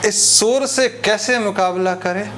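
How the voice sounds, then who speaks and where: A man delivering an expository monologue in a didactic, deliberate way, using rhetorical repetition. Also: high-pitched, noisy background. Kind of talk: expository monologue on social issues with parables.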